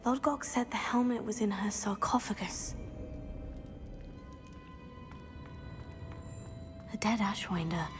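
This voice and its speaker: A woman's voice: medium pitch, normal speed, music in the background, natural voice. A young woman playing a brave, curious teenage witch in a game, composed and inquisitive. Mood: focused. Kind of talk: scripted game dialogue.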